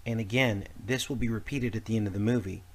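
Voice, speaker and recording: A man's voice: on the low side, fairly even intonation, fast talker. A man giving an earnest explanatory commentary over film clips, addressing his listeners as a host would. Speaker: host and narrator of conspiracy videos. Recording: loud music behind the voice. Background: loud background music.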